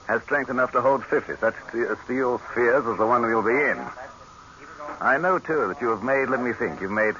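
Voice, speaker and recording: An actor playing a vain, commanding dictator in a 1930s radio horror drama, falsely affable and uneasy, with a pompous, condescending, repetitive way of talking. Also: male voice, lowish voice, sharp tone. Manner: pompous, condescending, repeats himself. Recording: muffled, noisy old 1930s radio recording.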